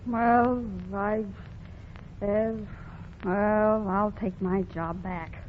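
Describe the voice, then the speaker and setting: A woman's voice: mid-range voice, extremely slow, a little hiss or hum. An actress playing a plucky, wry young shopgirl, plain-spoken, in a 1940s radio comedy. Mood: meek. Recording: dull lo-fi 1940 radio recording.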